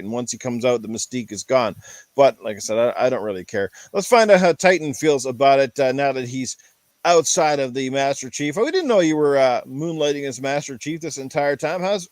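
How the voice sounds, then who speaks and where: A man's voice: mid-range voice, a little mellow, no room sound. A man chatting casually on a gaming podcast, rambling with lots of "you know" and "like". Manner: rambling, many fillers and restarts. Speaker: podcast panelist. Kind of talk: casual chat.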